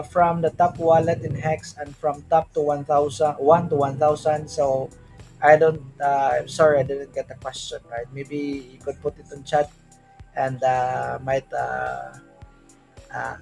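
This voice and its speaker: A man's voice: on the high side, vocal fry, a little mellow, rough recording. Filipino man rambling on a livestream. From Philippines. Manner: rambling, fillers, tag questions. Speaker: livestream host. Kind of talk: casual livestream crypto chat.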